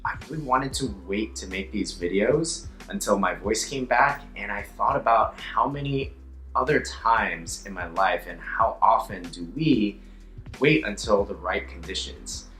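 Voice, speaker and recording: A young man with a hoarse, slightly raspy voice, an upbeat yoga teacher chatting playfully in a casual vlog. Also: on the low side, animated, unhurried, pushed and bright, roomy sound, soft background music. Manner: upbeat, playful, self-mocking.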